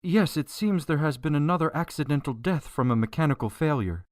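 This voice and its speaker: A man's voice: fairly high pitch, expressive, brisk, dry recording, clean studio sound, lightly acted. A man playing a worried, grieving governor in a radio drama, speaking in a polite, hesitant way. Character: worried, grieving male governor seeking help.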